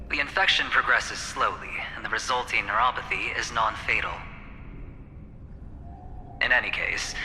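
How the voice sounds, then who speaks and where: An actor playing a composed, calculating corporate spokesman in a game, with a smooth, businesslike delivery. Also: lowish voice, pushed and bright, slight background noise. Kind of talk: scripted game cutscene acting. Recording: hall reverb, music underneath.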